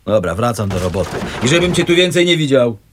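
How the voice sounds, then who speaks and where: Actor as a blustering caretaker with swooping pitch. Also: male voice, sing-song, quick pace, a little hiss or hum, close to an everyday voice. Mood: bossy, dismissive. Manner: colloquial, blustering, officious. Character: bossy, grumbling, self-important male housing-estate caretaker. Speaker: actor in a radio play. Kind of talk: naturalistic radio drama acting.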